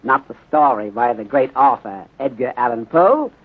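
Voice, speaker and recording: A man playing a courteous, methodical Chinese detective inspector in 1930s radio drama style, speaking formally in clipped phrasing with deliberate pauses and dropped articles. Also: mid-range voice, expressive, slightly rough. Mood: measured, expository. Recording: muffled, narrow-band 1930s radio recording.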